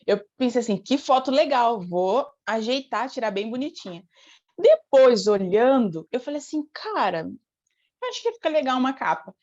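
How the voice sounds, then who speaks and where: High-voiced Brazilian woman, slightly muffled. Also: big pitch swings, moderate pace, darkish tone. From Brazil, born in Rio de Janeiro state, raised in Paraná from age eight. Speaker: singer, interview guest. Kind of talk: casual chat, storytelling.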